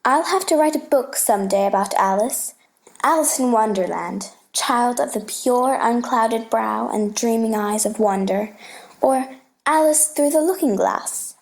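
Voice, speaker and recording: A woman's voice: fairly high pitch, measured pace. Woman reading as a patient, playful scholarly uncle. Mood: fond, musing. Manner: patient, explanatory, teasing. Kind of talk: storybook play reading.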